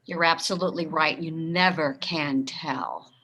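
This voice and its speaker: A woman playing an excitable, gossip-hungry suburban housewife in a staged play reading. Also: deep voice, unhurried, full character voice, rough recording. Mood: excited, gossipy. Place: video call.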